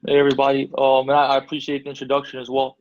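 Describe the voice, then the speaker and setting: A man's voice: medium pitch, monotone, very fast, no room sound, highs cut off. A man from Albania, a basketball agent, answering interview questions casually with many fillers and restarts.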